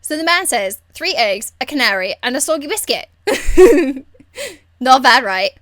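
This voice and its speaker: Impish young elf thief, high bouncy pitch, irreverent, teasing, blunt, video game character. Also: female voice, big pitch swings, a little slow, a bit bright, dry recording, exaggerated voice. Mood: gleeful. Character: cheeky crude young female elf thief, playful and mocking.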